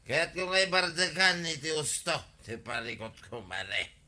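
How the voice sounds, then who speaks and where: High, piercingly bright blustering husband. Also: male voice, very animated, unhurried, full character voice. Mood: sullen grumbling. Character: hot-tempered, grumbling husband in a village comedy. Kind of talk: Ilocano radio comedy drama acting.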